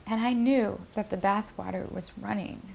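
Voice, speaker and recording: Woman acting nervous sleepless daughter, halting confession, bit of fry in her voice, noisy background. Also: medium pitch, steady tone, a little mellow, muffled recording. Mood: uneasy, haunted. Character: anxious, guilt-ridden sleepless daughter recalling family secrets. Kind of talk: dramatic monologue, intimate recollection.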